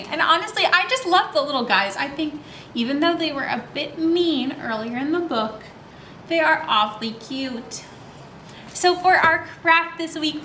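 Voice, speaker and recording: A woman with a high, bright voice leads a story time for young children. She talks in an animated, child-directed way, posing questions and answering them as she goes. There is some steady background noise in the recording. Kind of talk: read-aloud and craft instruction for young children.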